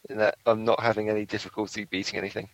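A soft-spoken man co-hosting a casual podcast, a bit hesitant as he talks. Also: lowish voice, flat delivery, rapid-fire, no room sound, poor audio. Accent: non-American. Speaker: podcast co-host. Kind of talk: casual chat.

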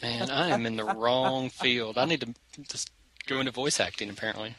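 A man's voice: medium pitch, fairly even intonation, normal speed, poor audio. A man chatting as a podcast guest, easygoing and self-deprecating. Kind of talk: casual chat.

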